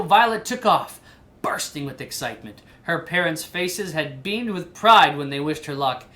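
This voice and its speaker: A woman reading a children's storybook aloud in an animated style. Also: fairly low pitch. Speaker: storybook reader.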